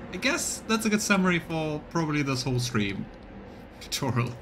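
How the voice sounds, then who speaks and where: A man doing live let's play commentary, reading game text aloud and thinking out loud for his viewers. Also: mid-range voice, noisy background. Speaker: live streamer voicing a game playthrough.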